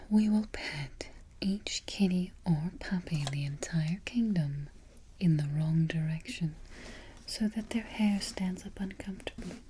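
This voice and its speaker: A woman playing a haughty evil queen in a soft-spoken ASMR roleplay, breathy and whispery, giving petty orders with imperious, mock-sweet deliberation. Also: fairly low pitch, measured pace, slight background noise, lightly acted, rough recording. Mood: smug, mischievous. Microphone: close ASMR microphone.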